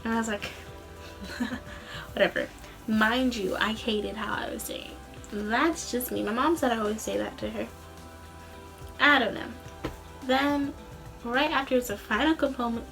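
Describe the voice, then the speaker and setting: Young woman vlogging, kinda high voice, bit tired n tense, animated rambly storytelling, soft music in back. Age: young adult. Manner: animated, rambling, acts out quoted dialogue. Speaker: student singer and vlogger. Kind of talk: casual chatty vlog storytelling.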